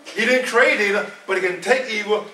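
A man's voice: high voice, a little fast, sharp tone, a little hiss or hum. A man of seventy preaching an informal sermon, repeating his points with emphasis in a conversational way. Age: 70s. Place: small church hall. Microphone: far camera. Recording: echoey room from a far camera.

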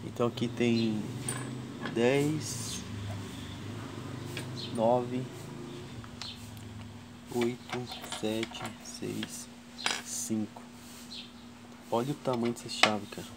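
A man from Brazil hosting a casual tool vlog at his workshop bench, slowly counting wrench sizes and chatting to viewers. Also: medium pitch, steady tone, background noise. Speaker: tool channel host. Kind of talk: casual show-and-tell vlog.

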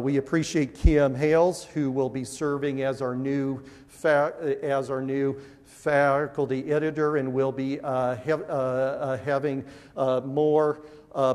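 A man's voice: mid-range voice, a little mellow. A man in his forties giving a conference welcome speech on a large auditorium stage through a lapel mic, earnest and upbeat, with frequent fillers and restarts. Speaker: university administrator giving welcoming remarks. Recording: long hall reverb.

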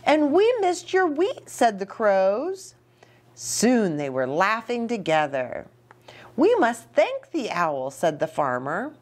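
Animated American woman reading to children. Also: mid-range voice, slow pace. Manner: expressive, child-directed, asking questions. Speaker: children's story time host and pastor. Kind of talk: read-aloud storytelling to children. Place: small indoor reading nook with bookshelf and curtains.

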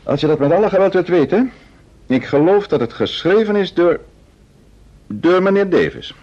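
A man playing an assured, gently patronising detective husband in a vintage radio play. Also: high voice, expressive, moderate pace. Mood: brisk, indulgent. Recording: dull vintage radio drama recording.

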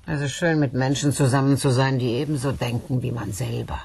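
An actress playing an injured, hard-drinking, needy and taunting woman in a German radio drama, swinging from muttered to shrill. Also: fairly even intonation, a little fast, creaky, a little mellow, slightly rough, exaggerated voice. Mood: weary, coaxing.

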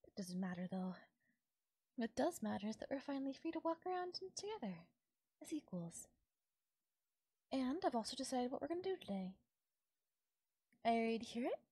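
A sweetly controlling fairy woman, acted by a voice actress for an intimate audio roleplay. Her voice is husky and close, with a crackle of fry and a very dry sound. She coaxes and teases with doting, possessive affection. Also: high-pitched, sing-song, a little fast, pauses often, no room sound, voice pushed up, exaggerated voice. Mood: delighted, doting. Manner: coaxing, affectionate, softly teasing. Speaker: voice actor. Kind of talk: intimate audio roleplay, ASMR-style acting.

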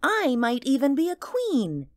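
A woman playing an earnest, excitable little girl with a secretive, menacing streak in an audio drama. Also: sits high, measured pace, dry recording, clean studio sound, full character voice. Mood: earnest, boastful. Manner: childlike, earnest.

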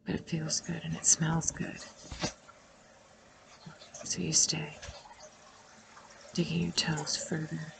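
A woman with a lowish voice leads a guided meditation. She speaks very slowly and soothingly, leaving long pauses. Heavy creak runs through her voice, and phrases often soften into a breathy near-whisper. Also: monotone, background noise.

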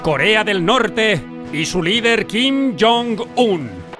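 A man's voice: high voice, big pitch swings, extremely slow. A man delivering scripted mock-documentary narration. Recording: music behind the voice.

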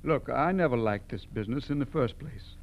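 An actor playing a nervous, reluctant garage owner mixed up in crime, hesitant and stammering, in a 1940s American radio drama. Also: male voice, medium pitch, lively intonation, brisk, a little hiss or hum, natural voice. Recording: dull, humming old radio recording.

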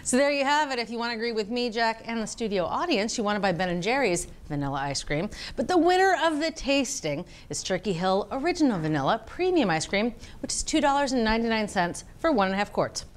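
A woman's voice: on the high side, big pitch swings, fast talker, few pauses. A middle-aged American woman presenting a cooking show in a casual, conversational way. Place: studio kitchen set with audience.

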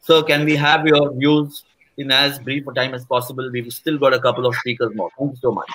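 A man keeps the webinar moving, introducing each speaker with polite, brisk courtesy and the odd filler. His voice sits at an ordinary middle pitch. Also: steady tone, fairly bright tone, husky, low-quality recording. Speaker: moderator. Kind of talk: formal moderating of a webinar.

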